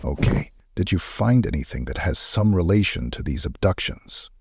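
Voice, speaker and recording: A man's voice: low voice, lively intonation, normal speed, darkish tone, husky, no echo, studio quality, full character voice. A man playing a grim, alarmed police chief in an amateur horror drama, grave and clipped in manner. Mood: businesslike. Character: grim, alarmed male police chief under mounting strain.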